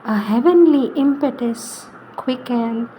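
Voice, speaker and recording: Woman, high-pitched voice, solemn devotional recitation, short measured phrases, faint background noise. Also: dark tone, rough recording. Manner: solemn, deliberate, with pauses between short phrases. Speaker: reader. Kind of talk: slow devotional reading of spiritual poetry.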